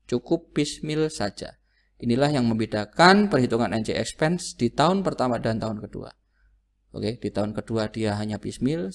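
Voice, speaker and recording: A man's voice: medium pitch, gravelly. A man lecturing through worked calculations, didactic, often asking a question and then answering it himself. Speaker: accounting lecturer.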